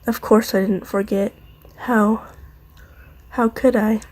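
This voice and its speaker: A woman's voice: medium pitch, steady tone, slow pace, a little mellow. A woman voicing a grieving young man who rules the wind, speaking in a choked, whispering way.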